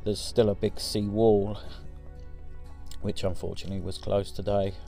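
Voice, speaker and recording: A man's voice: fairly low pitch, little pitch movement, normal speed, vocal fry, dark tone, soft background music. A man in his forties giving a casual, rambling voice-over for his driving footage, with many ums and restarts. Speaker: vlogger.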